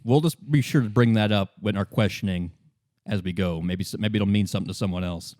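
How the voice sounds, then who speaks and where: A man with a fairly low voice narrates a tabletop game as game master. He talks casually and haltingly, stepping in and out of character. The recording is close and dry. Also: a little mellow, no echo.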